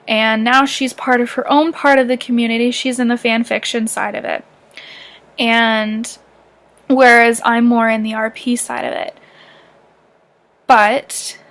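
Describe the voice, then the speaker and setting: A young woman from southern Ontario with a light Canadian accent, rambling through a casual personal vlog. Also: a little high. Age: young adult. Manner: rambling, heavy use of fillers like "um" and "like". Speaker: vlogger.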